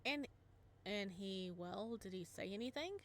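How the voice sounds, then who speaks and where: A woman's voice: medium pitch, animated, unhurried, slight background noise. Alex, voiced by a woman, hesitant young dad. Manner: hesitant, self-conscious. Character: young adult male teacher, single dad. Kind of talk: reading a visual novel aloud.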